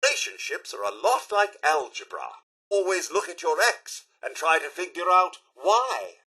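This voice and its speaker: A man's voice: a little high, sing-song, measured pace, bright, forceful tone, husky, full character voice. A man voicing a polite, fussy robot butler for a video game, with a British accent and a posh, theatrical, formal manner. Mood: jokey. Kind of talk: video game character voice lines.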